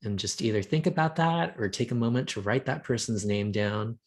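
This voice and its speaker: A man's voice: fairly low pitch, animated, brisk. A man whose first language is Thai, giving an educational talk to students in a plain, explaining way. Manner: explains plainly, addresses young listeners. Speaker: guest presenter.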